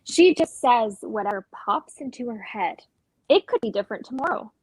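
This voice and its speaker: A woman's voice: fairly high pitch, lively intonation, normal speed, darkish tone, husky, no echo, poor audio. A woman playing a guarded, conflicted sister, earnest and defensive, in a dramatic play reading. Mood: hurt, guarded.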